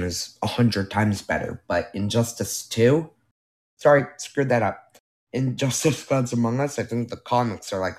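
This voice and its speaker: A man's voice: on the low side, darkish tone. A young man hosting a casual solo podcast, rambling with fillers and self-corrections while losing his voice. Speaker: podcast host. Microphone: new microphone being tested.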